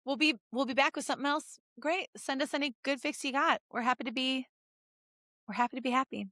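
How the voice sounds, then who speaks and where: A woman's voice: high voice, fairly even intonation, brisk, sharp tone, no echo, clean studio sound. A woman co-hosting a casual comedy podcast, playful and full of exclamations and jokes. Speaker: podcast co-host.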